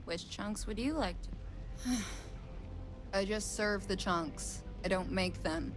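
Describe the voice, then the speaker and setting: A woman plays an unenthusiastic food-counter server in a video game. Her voice is medium-pitched and deadpan, as if resigned to the job. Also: takes it slowly, over music, close to an everyday voice. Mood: bored, resigned.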